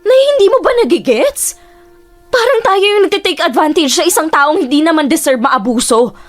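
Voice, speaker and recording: A woman's voice: high voice, very animated, moderate pace, soft background music. An actress playing a weary, conflicted young woman scammer who talks bluntly and defensively. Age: young adult. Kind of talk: radio drama acting.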